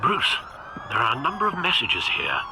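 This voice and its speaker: A man's voice: on the high side, sing-song, very noisy. A man playing a loyal, proper older butler in a video game, speaking formally with a British accent. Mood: grave. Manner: formal, measured.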